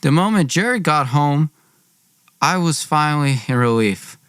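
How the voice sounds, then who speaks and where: Young male storyteller, high-pitched, very animated intonation, theatrical, slangy, dry close sound. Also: unhurried, clean tone, no room sound. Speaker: podcast host telling own story. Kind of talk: dramatic personal storytelling.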